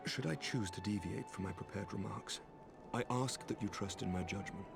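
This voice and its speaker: An actor playing a noble, solemn leader of knights in a fantasy game, speaking formal archaic lines in a measured, earnest way. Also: male voice, on the low side, gravelly, music in the background. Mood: quiet, confiding.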